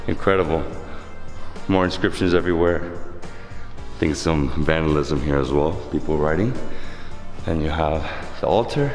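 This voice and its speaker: A man in his thirties vlogging casually with a camera at arm's length, excitable and chatty, outdoors and inside a stone church. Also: extremely low pitch, moderate pace, long pauses, in a large hall, faint music behind. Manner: excitable, exclaims often, chatty. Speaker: travel vlogger. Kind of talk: casual travel vlog narration. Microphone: camera held at arm's length.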